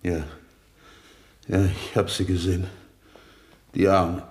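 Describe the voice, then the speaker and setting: A lowish male voice as an aggrieved country villager in a radio crime play. He is plain-spoken and defensive, protesting with a wounded air. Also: little pitch movement, slow pace. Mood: grieving, shaken.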